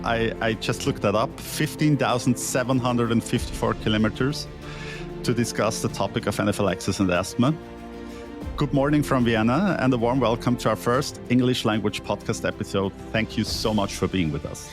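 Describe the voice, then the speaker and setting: A man's voice: mid-range voice, over music. A man from Switzerland, a senior emergency physician hosting a podcast and asking formal, carefully prepared questions. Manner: formal, earnest, deliberate. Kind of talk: hosted interview, prepared questions.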